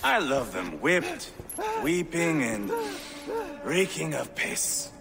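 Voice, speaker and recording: A gravelly, sneering Viking warlord. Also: male voice, a little high, wildly swooping pitch, slow, music in the background, exaggerated voice. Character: cruel swaggering Viking warlord, male, mocking. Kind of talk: video game cutscene acting.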